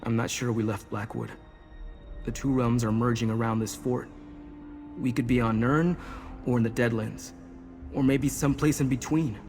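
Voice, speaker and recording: A man's voice: mid-range voice, fairly even intonation, moderate pace, long pauses, a little mellow, natural voice. An actor playing an earnest, resolute young man in a video game, explaining things intently. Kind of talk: video game character acting. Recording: game music behind the voice.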